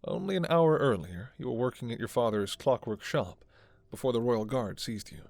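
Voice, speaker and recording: A man's voice: medium pitch, lively intonation, normal speed, vocal fry, darkish tone, no echo. A man reading scripted second-person narration for a fantasy audio story.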